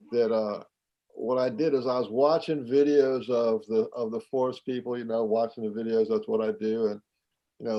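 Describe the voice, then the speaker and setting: A man chatting casually, speaking with some halting restarts. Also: mid-range voice, dull audio. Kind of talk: casual chat.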